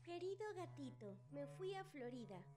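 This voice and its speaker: Cartoon cat girl acted by a woman: high, swooping voice, theatrical and solemn, fond of the occult. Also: very animated, music in the background. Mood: solemn, dramatic. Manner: drawn-out, dramatic incantations. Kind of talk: fan dub cartoon acting.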